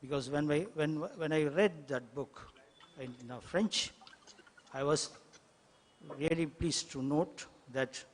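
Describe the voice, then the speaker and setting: Halting male translator in a reverberant hall. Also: fairly high pitch. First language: Tamil. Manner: halting, many fillers and restarts. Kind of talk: hesitant panel remarks. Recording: long hall reverb, faint ambient noise.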